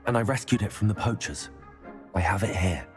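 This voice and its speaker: A man's voice: on the low side, expressive, brisk, over music, close to an everyday voice. A man playing a brave, polite teenage wizard hero in a video game, with an earnest manner. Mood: reassuring. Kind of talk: video game character dialogue.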